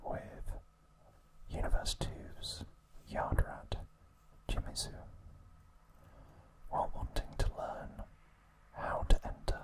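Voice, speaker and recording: Guy whispering an asmr recap, really slow n soft, all breath, lots of little pauses. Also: low-quality recording. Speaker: ASMR video host.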